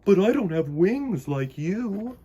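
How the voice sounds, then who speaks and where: A man voicing an apologetic female giraffe in a storybook, slow, sighing and regretful. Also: high-pitched, very animated, mellow, husky, pitched above the performer's own voice, heavily acted.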